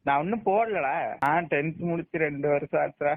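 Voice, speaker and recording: A man's voice: on the high side, brisk, no echo. A young man chatting casually over the phone. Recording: tinny dry phone line.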